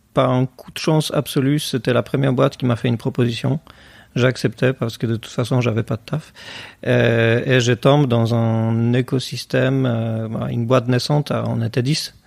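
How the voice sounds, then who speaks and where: A man's voice: mid-range voice, vocal fry, darkish tone, dry recording. A man, a podcast guest talking casually and hesitantly with many fillers. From Poland. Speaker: guest, tech company cofounder.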